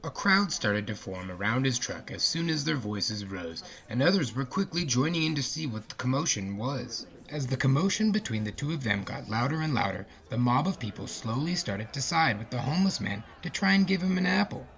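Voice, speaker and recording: A man's voice: medium pitch, sing-song, fairly quick, creaky, few pauses, a bit bright. A man hosting a podcast and telling a scripted story in a casual narrator's style. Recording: slightly muffled, music behind. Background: music.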